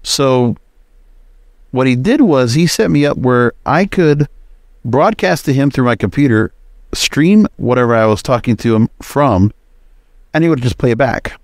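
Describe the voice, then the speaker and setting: A man's voice: medium pitch, animated, measured pace, dry recording. A man talks through his podcast commentary in a casual, rambling way. He is opinionated and conversational, with a mid-range voice. The sound is very dry, with no room around it. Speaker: podcast host.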